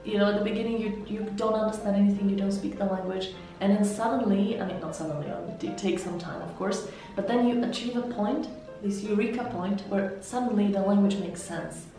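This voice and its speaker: A woman from Slovakia with a Slovak accent, an interpreter and language mentor, giving measured, explanatory interview answers. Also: medium pitch. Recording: echoey room, heard from some distance, soft music.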